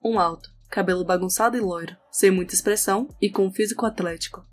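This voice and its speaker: A woman's voice: mid-range voice, quick pace. A woman with a Brazilian accent reading scripted narration for an audio novel. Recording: music under the voice.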